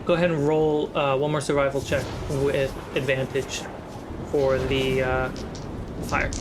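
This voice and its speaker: A man's voice: medium pitch, flat delivery, measured pace. A man acting as game master, narrating a fantasy tabletop game among friends. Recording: clear background noise and ambience.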